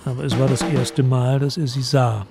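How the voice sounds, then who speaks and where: A man narrating a literary radio play from a script in a measured, solemn manner. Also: mid-range voice, level tone, gravelly, very noisy.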